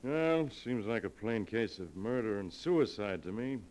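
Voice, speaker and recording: An actor playing a blunt police inspector in a vintage radio drama, asking brisk, direct questions. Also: male voice, on the low side, animated, darkish tone, a little hiss or hum. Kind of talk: 1940s radio acting.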